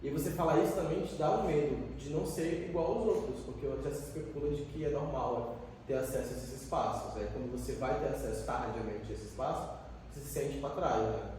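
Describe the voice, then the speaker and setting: A man's voice: medium pitch, a little fast, darkish tone, a little hiss or hum. Earnest young man in an echoey room. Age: 20s. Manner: reflective, earnest, many fillers. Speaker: youth educator. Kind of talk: casual podcast conversation. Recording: echoey room, distant mic.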